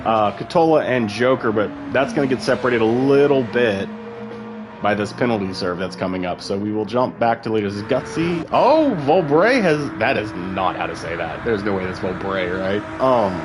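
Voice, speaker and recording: A man's voice: fairly low pitch, noisy background. A man giving casual, rambling live commentary on an online sim race, full of fillers and asides.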